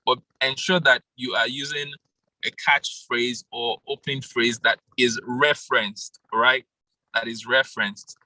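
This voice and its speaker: Bright, animated man coaching. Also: medium pitch, unhurried, no room sound, poor audio. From Nigeria. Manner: animated, laughs often, calls on participants by name. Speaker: public speaking coach. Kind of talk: interactive online workshop talk. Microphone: video call.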